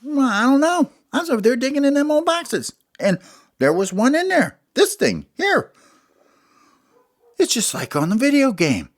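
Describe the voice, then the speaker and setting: A man doing a squeaky excited little critter. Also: moderate pace, heavily acted. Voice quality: squeaky and high. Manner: eager, teasing. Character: small excitable male critter, playful show-off.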